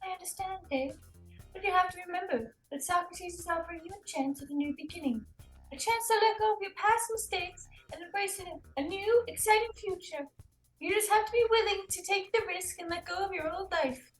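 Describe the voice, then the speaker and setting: Lady doing a seductive persuasive recruiter gal, high voice, coaxing, comedy table read, faint music. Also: a little fast, low-quality recording. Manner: coaxing, reassuring. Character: persuasive, seductive female recruiter for a pickup artist.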